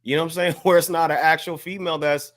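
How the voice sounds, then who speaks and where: An American man co-hosting a casual podcast discussion, measured and hesitant, carefully qualifying what he says. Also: on the high side, fairly even intonation, a bit bright. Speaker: podcast co-host.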